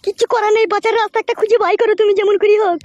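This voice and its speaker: A cartoon village wife with an extremely high, shrill voice. She talks very fast, nagging and insisting with a sharp, foul-mouthed tongue. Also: flat delivery, dry recording, muffled recording. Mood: urgent. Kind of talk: comic cartoon dialogue.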